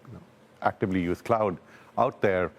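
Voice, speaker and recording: A man speaking as a technology company executive in a live business news interview, explaining things calmly. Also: low-pitched, normal speed.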